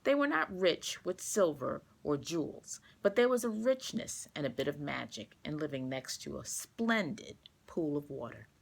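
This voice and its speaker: A woman reading a children's storybook aloud, expressive and carefully paced for young listeners. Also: low voice, normal speed, creaky, few pauses, a little hiss or hum.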